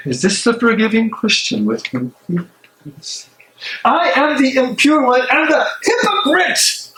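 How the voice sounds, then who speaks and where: A man in a dramatic stage reading playing a tormented, guilt-ridden German theologian, speaking haltingly and theatrically. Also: very high-pitched, sing-song, pushed and bright, exaggerated voice, low-quality recording. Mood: anguished self-reproach.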